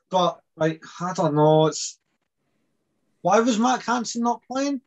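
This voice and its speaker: A young man with a high voice, mocking his co-hosts with quick-fire jibes. His podcast chat is casual and playful. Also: lo-fi sound. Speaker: podcast co-host. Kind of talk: casual banter.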